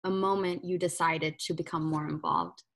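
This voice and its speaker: A woman's voice: low-pitched, steady tone, normal speed, vocal fry, fairly bright tone. A woman from Ethiopia hosting a podcast, interviewing in a conversational way. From Ethiopia, moved around.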